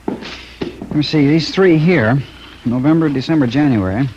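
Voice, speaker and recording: An actor playing an easygoing, obliging police handwriting expert in a 1950s radio drama, speaking casually. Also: male voice, on the high side, a little slow, lightly acted. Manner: casual, informal. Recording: muffled, faintly noisy old radio recording.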